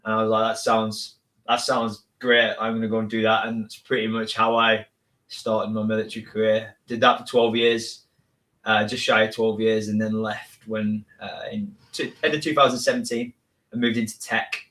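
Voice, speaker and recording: A man's voice: lowish voice, level tone, moderate pace, fairly bright tone, low-quality recording. A man in his thirties from northern England with a northern English accent, a guest telling his story in a casual, modest way with many restarts. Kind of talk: casual interview talk.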